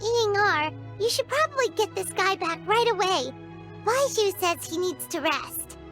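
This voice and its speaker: Very high, squeaky fairy companion. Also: female voice, animated, a little slow, a bit bright, faint music behind, full character voice. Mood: relieved, helpful. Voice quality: squeaky, childlike. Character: small chirpy childlike fairy companion, eager and caring. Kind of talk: English video game voice acting.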